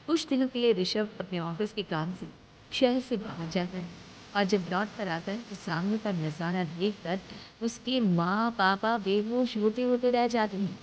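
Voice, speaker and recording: A woman's voice: mid-range voice, measured pace, a little mellow. A woman narrating a scripted moral story as a storyteller. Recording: dull lo-fi audio, slight noise.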